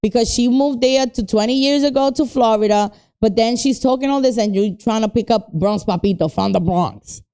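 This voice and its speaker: A woman's voice: a little high, normal speed, few pauses, dark tone, clean tone. A woman with a New York accent hosting a casual chat show while under the weather, laughing often and switching between Spanish and English. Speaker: host.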